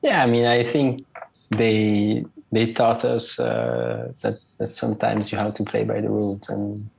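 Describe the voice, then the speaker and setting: Hesitant low gravelly young man. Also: measured pace, darkish tone, slight background noise, low-quality recording. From Switzerland. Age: 20s. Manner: hesitant, many fillers and repeated words. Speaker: interview guest, school alumnus. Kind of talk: casual interview answers.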